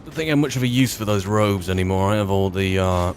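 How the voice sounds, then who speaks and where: Low-pitched man muttering game roleplay. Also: fast, slight background noise. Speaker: gamer playing a character. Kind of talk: casual in-character roleplay commentary over gameplay.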